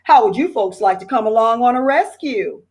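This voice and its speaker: A woman's voice: a little high, lively intonation, normal speed. A woman reading aloud voices a friendly, hearty male fire chief in a storybook.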